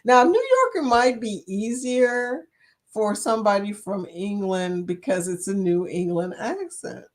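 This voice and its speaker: A woman's voice: medium pitch, unhurried. A middle-aged woman hosting a casual live chat, reading viewer comments aloud and laughing often. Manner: laughs often, chatty and animated, reads out comments. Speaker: livestream chat host.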